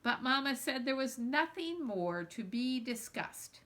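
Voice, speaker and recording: A woman reads a children's novel aloud with a high, bright voice. She is expressive and dramatizes the feelings of the young narrator as she goes, telling it like a storyteller. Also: measured pace. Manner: animated, dramatizing dialogue and feelings. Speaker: children's book reader. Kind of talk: expressive read-aloud of a children's novel in a girl's first person.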